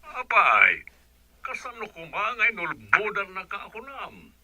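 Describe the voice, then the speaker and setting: Slow, sharp-voiced older Filipino father. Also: high-pitched, big pitch swings, no echo, full character voice. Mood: probing, stern. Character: older father, slow, probing family patriarch. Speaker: radio drama actor. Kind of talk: Ilocano radio drama acting.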